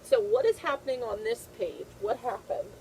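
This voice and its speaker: A woman's voice: a little high, very animated, moderate pace, creaky, a little mellow, slight background noise, low-quality recording. A woman teacher reading a picture book aloud to young children, repeating phrases and checking in with simple questions.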